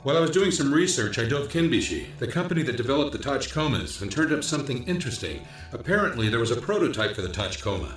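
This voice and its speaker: A man playing a diligent special-ops investigator, explaining findings in a measured briefing tone in a video game. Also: mid-range voice, very animated, echoey room, faint music behind, natural voice, rough recording. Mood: informative. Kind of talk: English dub acting in a video game cutscene.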